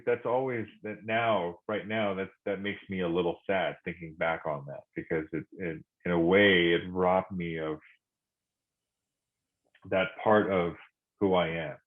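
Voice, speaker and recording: A middle-aged man from Korea reflecting in conversation, speaking thoughtfully with many pauses and restarts. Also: low-pitched. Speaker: podcast guest. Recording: phone-like narrow sound.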